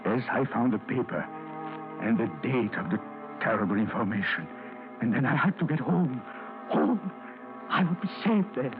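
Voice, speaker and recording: A man's voice: mid-range voice, animated, moderate pace, long pauses, lightly acted. An actor playing a frightened middle-aged businessman who fears arrest, narrating his own story in 1940s radio drama style. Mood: hunted. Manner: tense, anxious inner monologue. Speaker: narrator and lead character. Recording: muffled old radio broadcast with music.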